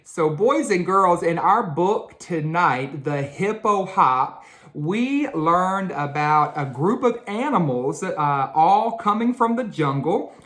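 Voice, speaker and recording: A woman hosting a children's read-aloud, talking directly to young listeners and asking them questions. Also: low-pitched.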